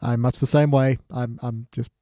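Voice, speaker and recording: A man's voice: medium pitch, fairly even intonation, moderate pace, dark tone, no echo, studio quality. A man hosting a podcast, chatting casually as he reviews a TV show. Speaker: podcast host.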